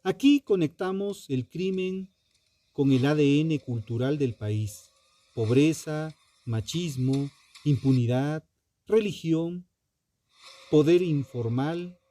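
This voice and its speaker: Slow, mellow man narrating true crime. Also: a little high. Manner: solemn, dramatic short phrases with emphatic pauses.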